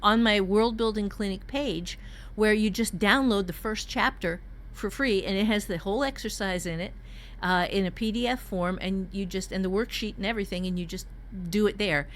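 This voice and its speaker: An older woman with a mid-pitched voice talks casually as a podcast co-host and writing teacher. She is candid and emphatic, telling things in plain, salty language. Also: normal speed.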